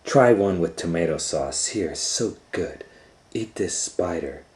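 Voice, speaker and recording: A man's voice: low voice, lively intonation, a little slow, darkish tone. Man as a school lunch lady, eager, coaxing, relishing, storybook reading, echoey room. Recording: roomy echo.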